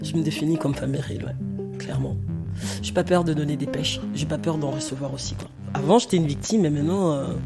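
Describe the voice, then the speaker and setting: A woman's voice: low voice, fairly quick, over loud music. A woman in her thirties, an artist and DJ, speaking directly and easily about her life in an interview, with frequent fillers. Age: 30s. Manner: relaxed and direct, with many fillers. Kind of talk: personal testimony in an interview.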